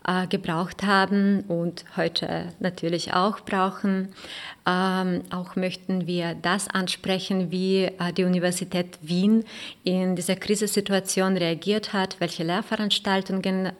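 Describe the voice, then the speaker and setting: A woman with a Ukrainian accent co-hosting a podcast, speaking in a measured way with filler sounds. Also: lowish voice. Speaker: podcast co-host, translation student. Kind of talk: semi-scripted podcast talk.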